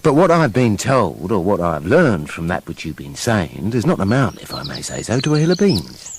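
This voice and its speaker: An actor playing a sardonic, world-weary big bad wolf in a radio play, arguing in a wry, rambling way. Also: male voice, lowish voice, wildly swooping pitch, moderate pace, slightly rough, heavily acted. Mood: exasperated.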